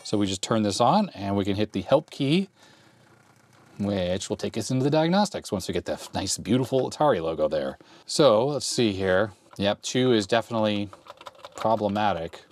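A man's voice: lowish voice, moderate pace. A man in his forties casually thinking aloud as he tests an old computer in a cluttered workshop, filmed up close. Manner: relaxed, thinking aloud while typing. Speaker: retro computer repair YouTuber. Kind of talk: casual hands-on demo talk. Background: keyboard clicks and typing.

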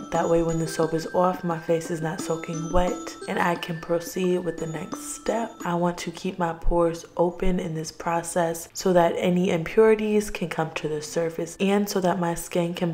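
A woman in her twenties narrating her nightly skincare routine in a neat, upbeat voice-over. She is ill and losing her voice. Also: lowish voice. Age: 20s. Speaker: beauty vlogger.

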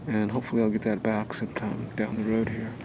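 A man's voice: on the low side, monotone, fast talker. A man showing off his sailboat on a marina dock, talking in a rambling, upbeat way with lots of fillers. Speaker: skipper of a youth sailing nonprofit. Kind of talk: casual walkthrough vlog. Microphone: handheld camera mic. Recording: loud wind and water noise outdoors. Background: wind and boat ambience.

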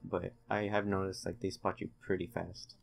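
A man's voice: low voice, flat delivery, moderate pace, vocal fry. A man giving casual, laid-back, joking gameplay commentary to a friend, thinking aloud as he plays. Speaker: let's play host, playing the game.

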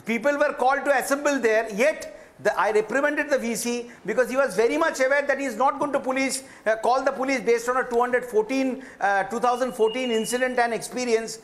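A man's voice: extremely high pitch, brisk, fairly bright tone. An Indian man, a government minister, defending himself insistently in a heated TV debate. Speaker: central minister, debate guest.

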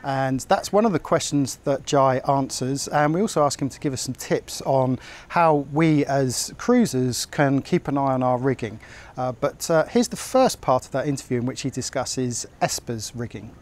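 A man in his forties hosting a sailing vlog, speaking in a relaxed, friendly way on a lapel mic. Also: medium pitch, normal speed. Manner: friendly, affirming, backchannels. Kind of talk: casual chat.

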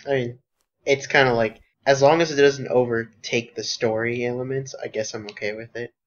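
A man's voice: medium pitch, steady tone, normal speed, dull audio. A man co-hosting a casual anime podcast, laid-back and speaking in short interjections. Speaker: podcast co-host. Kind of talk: casual chat.

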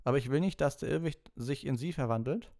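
A man's voice: mid-range voice, quick pace, vocal fry, mellow, pitched above the performer's own voice, close to an everyday voice. A man voicing a nervous, timid schoolboy in an audiobook, hesitant and unsure. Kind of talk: audiobook character voice.

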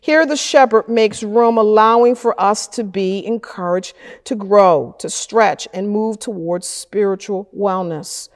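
A middle-aged woman pastor preaching a sermon from a church lectern, with slow, measured delivery and deliberate pauses. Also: mid-range voice. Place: wood-paneled church chancel, at a lectern. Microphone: fixed camera at a distance. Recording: church with a long reverb tail.

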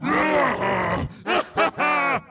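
Casting: hulking mutant fusion monster for a game, manic and bombastic, extremely high-pitched, drawn-out delivery, boastful battle barks. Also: male voice, gravelly, husky, distant mic, full character voice. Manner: shouted, cackling, bombastic. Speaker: video game character.

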